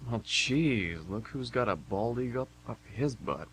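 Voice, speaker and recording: A man's voice: medium pitch, expressive, unhurried, fairly bright tone. A man playing a cocky, flippant young unemployed slacker in an amateur radio play, with a sardonic delivery. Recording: amateur radio, faint background hum.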